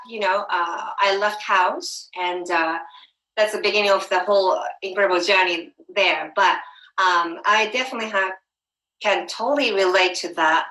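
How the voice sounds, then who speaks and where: A woman from Japan, hosting an interview and speaking candidly with halting phrasing. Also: mid-range voice, pushed and bright. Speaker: summit host. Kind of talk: interview conversation. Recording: echoey room.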